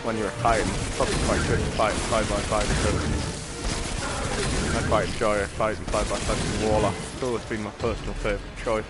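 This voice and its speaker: A man's voice: on the low side, flat delivery, brisk. A man giving casual, chatty live commentary as he streams a game, rambling with matey asides. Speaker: gaming streamer. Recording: loud game music and gunfire behind. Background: loud game music, gunfire.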